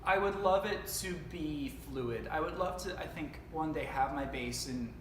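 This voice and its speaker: A young man from Canada, an actor, talking about his life and work in a casual interview, earnest and reflective, often pausing with "um" and restarting. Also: fairly high pitch. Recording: echoey room with noise behind.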